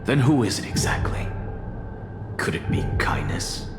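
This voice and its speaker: A man's voice: mid-range voice, expressive, measured pace, close to an everyday voice. A man playing an arrogant scholar in a fantasy game, speaking formally with dry, sardonic wit. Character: arrogant, sardonic male scholar and professor, blasphemous. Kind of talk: fantasy video game acting. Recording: echoey reverberant hall with music behind.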